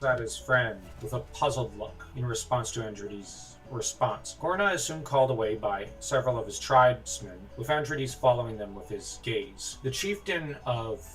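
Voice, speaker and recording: A man's voice: mid-range voice, animated, normal speed, few pauses, fairly bright tone. A man reading out a fantasy tale as its narrator, in scripted storytelling prose. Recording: distant mic, music underneath.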